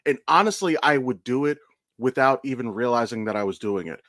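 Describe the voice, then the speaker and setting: A man's voice: medium pitch, animated, fairly quick, a bit bright, dry recording. Candid, swearing American man chatting, dry sound. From Ohio, United States. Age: 30s. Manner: candid, profane, rambling storytelling. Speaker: podcast host. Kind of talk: casual conversational podcast chat.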